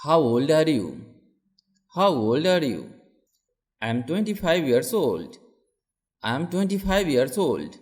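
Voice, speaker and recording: A man reading simple English questions and answers for learners, saying each sentence twice with clear, deliberate delivery. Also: mid-range voice. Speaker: English teacher reading practice phrases.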